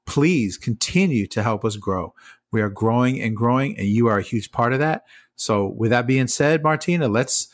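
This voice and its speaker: An upbeat man hosting a podcast, easygoing and encouraging toward his guest. His voice sits at a middle pitch. The sound is dry, with no room echo. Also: animated, normal speed. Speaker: podcast host.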